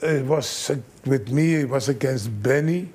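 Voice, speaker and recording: A man, a fight trainer, telling animated stories in broken English as an interview guest. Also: medium pitch, normal speed, husky. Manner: animated storyteller, broken English, acts out quotes. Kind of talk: casual storytelling interview.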